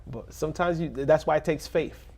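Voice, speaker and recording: A man who grew up in a rough neighborhood, speaking as a pastor in a casual interview, earnest and preacherly, breaking into laughs and jokes. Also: a little high, moderate pace, darkish tone. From Little Haiti, Miami.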